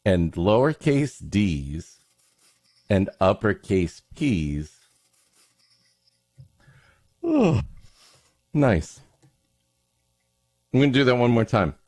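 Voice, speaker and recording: Low voiced guy bantering and reading a story all mock-dramatic, close dry sound. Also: very animated, extremely slow, pauses often, a little mellow, dry recording. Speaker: podcast host reading a story aloud.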